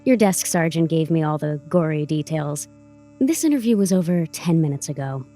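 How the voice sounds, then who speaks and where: An actress playing a brash, self-assured lawyer with breezy, theatrical sarcasm in an audio drama. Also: female voice, sits low, animated, moderate pace, mellow. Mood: breezy, sarcastic. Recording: faint music behind the voice.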